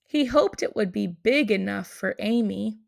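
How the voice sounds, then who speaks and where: A woman's voice: medium pitch, measured pace, vocal fry. A woman narrating a picture book aloud for children. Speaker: storybook reader.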